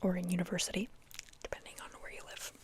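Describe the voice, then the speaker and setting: A woman's voice: fairly low pitch, level tone, quick pace. A young woman whispering close to the mic in a playful, chatty ASMR sleep talk, often repeating words. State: dry mouth. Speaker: ASMR host.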